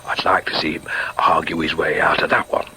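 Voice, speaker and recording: An actor playing a proud, argumentative middle-aged socialist shop steward in a radio drama, with a Northern English accent and an emphatic, halting delivery. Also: male voice, a bass voice, level tone, sharp tone, in a whisper, full character voice. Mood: muttered, scheming. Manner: emphatic, halting repetitions, muttered inner asides. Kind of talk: naturalistic radio drama with inner asides.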